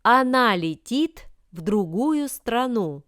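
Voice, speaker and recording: A woman reading scripted example sentences for language learners with careful, deliberate enunciation. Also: a little high, animated, a bit bright, no room sound, clean studio sound. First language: Russian. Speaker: language lesson reader.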